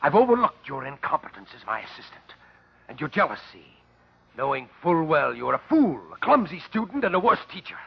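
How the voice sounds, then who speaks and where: A haughty male professor in an old radio play. He blusters and cuts people down imperiously, his husky voice swinging widely in pitch on a dull, lo-fi recording. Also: very animated, brisk, heavily acted. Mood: furious, contemptuous. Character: haughty, irascible male academic, furious and contemptuous. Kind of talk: old radio drama acting. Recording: dull lo-fi vintage radio drama.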